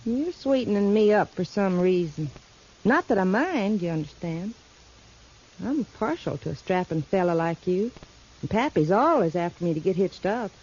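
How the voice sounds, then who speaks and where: A woman's voice: medium pitch, expressive, moderate pace, darkish tone, natural voice. An actress playing a forthright, folksy young mountain woman of twenty-two with a rural Kentucky accent in a 1950s radio western. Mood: coy, wary. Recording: dull, hissy 1950s radio recording.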